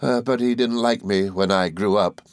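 A man voicing a cheerful, good-natured young gentleman law student in an audiobook, eager and flustered, with little stammering hesitations. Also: fairly low pitch, normal speed, dry recording, clean studio sound, lightly acted. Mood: flustered, mild. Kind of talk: audiobook character dialogue.

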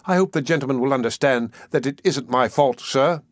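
A man voicing a two-faced woman who keeps pauper children in a storybook reading, both obsequious and sharp-tongued. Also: on the high side, expressive, moderate pace, no room sound, clean studio sound. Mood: fawning. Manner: obsequious to superiors, scolding to children. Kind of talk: audiobook character acting.

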